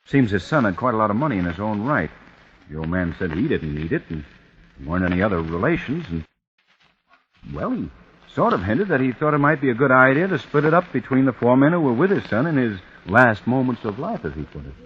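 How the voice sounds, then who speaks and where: A man's voice: medium pitch, very animated, fairly quick, natural voice. An actor playing a skeptical married man who talks in a dry, doubtful way, in 1940s radio drama style. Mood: wary. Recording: muffled old radio broadcast with hiss.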